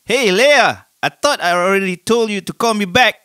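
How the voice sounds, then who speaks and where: An actor playing a protective young man, an earnest, emphatic brother figure in an animated comic drama. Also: high-pitched, normal speed, music in the background, close to an everyday voice. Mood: worried, scolding. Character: protective older brother, worried and scolding. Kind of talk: animated motion comic voice acting.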